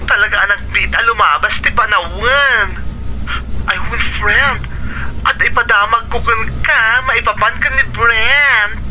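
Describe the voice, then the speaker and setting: A woman's voice: on the high side, unhurried, sharp tone, a little hiss or hum, low-quality recording. A woman acting a chatty friend back from abroad in an Ilocano radio drama. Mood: cheerful, chatty.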